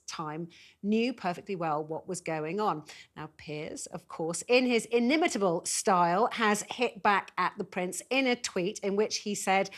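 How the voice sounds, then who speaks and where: A British woman presenting a live news interview, putting long, conversational questions to guests as she hosts. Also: mid-range voice.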